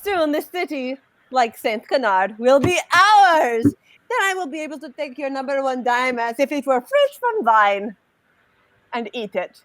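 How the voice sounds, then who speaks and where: A woman's voice: high voice, very animated, measured pace, a bit bright, no echo, full character voice, rough recording. A woman voicing a gloating, greedy female villain in a live cartoon comic dub.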